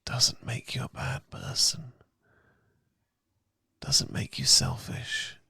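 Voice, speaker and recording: A British man speaks as a tender, reassuring boyfriend in a romantic ASMR meditation. His voice is very gravelly and husky, softened almost to a whisper. He takes his time, unhurried and soothing, close to the mic in a dry space. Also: mid-range voice, no echo. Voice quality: soft, breathy, gravelly, partly whispered. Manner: gentle, calming, intimate, unhurried. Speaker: ASMR roleplay performer. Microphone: close, dry ASMR miking.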